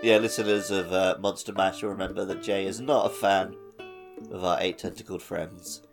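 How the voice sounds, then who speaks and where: A man with a fairly low voice and a good deal of fry chats as a podcast co-host. His delivery is casual, wry and conversational. Plucked guitar music plays clearly behind him. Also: steady tone, moderate pace. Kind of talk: casual video game podcast chat.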